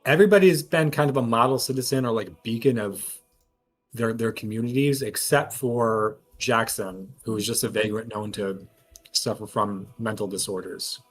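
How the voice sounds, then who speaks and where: A man's voice: fairly low pitch, normal speed, breathy edge. A man talking casually and analytically as he works through a mystery at length as a tabletop game player. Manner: analytical, reasons aloud at length.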